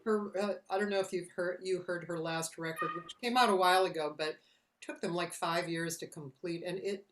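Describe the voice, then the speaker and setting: A woman's voice: on the low side, steady tone, a little hiss or hum, low-quality recording. A woman hosting a live video-call interview, reacting with easy enthusiasm and asking friendly questions. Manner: enthusiastic, quick to react with "wow". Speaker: host. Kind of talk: casual interview chat.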